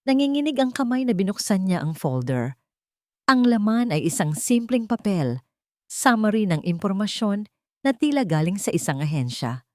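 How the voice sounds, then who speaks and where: A woman's voice: mid-range voice, big pitch swings, moderate pace, no room sound, clean studio sound. A woman with a Filipino accent reading a scripted dramatic story as its narrator.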